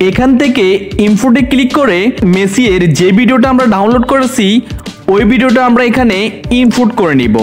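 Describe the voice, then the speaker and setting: Man, high voice, tutorial presenter, step-by-step narration, background music.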